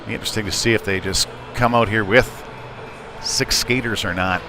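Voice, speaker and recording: A man's voice: on the low side, steady tone, normal speed, over music. A man doing live ice hockey play-by-play in a conversational way, thinking aloud as he goes. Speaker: play-by-play sports commentator. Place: indoor ice rink. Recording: noisy live rink broadcast.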